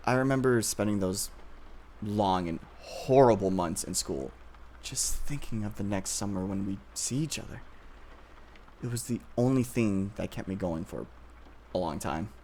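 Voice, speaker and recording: Over clear background noise comes a fairly low male voice in an intimate ASMR roleplay. It is an obsessive childhood-friend boyfriend, acted out by a man, tender yet possessive. He talks softly and haltingly, with nervous pauses. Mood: bashful, tender.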